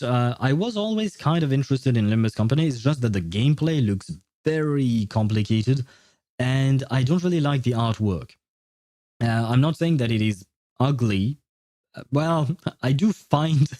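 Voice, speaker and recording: A man's voice: mid-range voice, a little mellow, dry recording, studio quality. A man streamer chatting casually with viewers in a rambling, hesitant way. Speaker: livestreamer. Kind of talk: casual livestream chat, replying to viewers.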